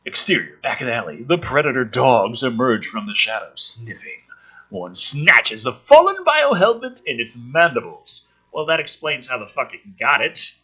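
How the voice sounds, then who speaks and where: Male video host, husky and very forceful, lively pitch swings, theatrical reads mixed with sardonic, cursing commentary. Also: normal speed, rough recording. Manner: sweary, sardonic asides; theatrical, animated delivery. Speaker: video host reading a film script aloud. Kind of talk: dramatic script reading with casual commentary.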